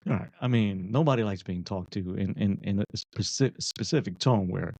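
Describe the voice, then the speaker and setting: A man co-hosting a casual advice talk, explaining things deliberately and checking in with his listener, on a slightly muffled recording. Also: fairly low pitch, darkish tone, no room sound. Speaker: podcast co-host.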